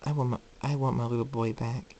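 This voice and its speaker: Man as storybook mother pony, gentle, loving, soft-spoken, soothing. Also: medium pitch, steady tone, a little fast, close to an everyday voice. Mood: tender, loving.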